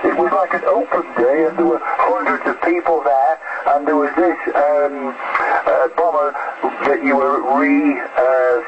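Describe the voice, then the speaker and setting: A man's voice: fairly high pitch, fairly even intonation, measured pace, few pauses. Muffled man through heavy shortwave static. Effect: shortwave radio. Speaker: amateur radio operator. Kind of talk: ham radio contact chat. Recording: muffled, very noisy shortwave radio reception.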